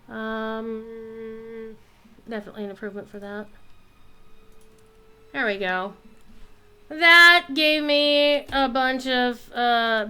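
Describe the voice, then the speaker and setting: A woman giving casual live commentary while streaming a game, playful and expressive, with some salty language. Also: fairly high pitch, smooth.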